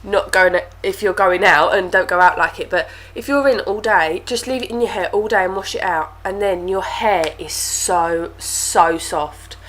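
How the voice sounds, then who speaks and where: A woman's voice: medium pitch, normal speed, few pauses, fairly bright tone, slight background noise. Chatty woman with a cold. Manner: chatty, with lots of fillers and repeated words. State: stuffy nose from a cold. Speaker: beauty vlogger. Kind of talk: casual product review to camera.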